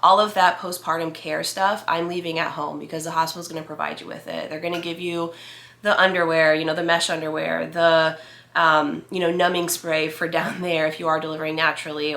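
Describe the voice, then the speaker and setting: A congested woman in her thirties talks to her viewers with a stuffy, nasal sound and audible heavy breathing. Her voice is bright and sits a little low, and it rings in an echoey room. She rambles along casually, filling gaps with "like" and "you know" as she reassures them. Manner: chatty, reassuring, many fillers like "like" and "you know". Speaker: vlogger. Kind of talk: casual talk to camera.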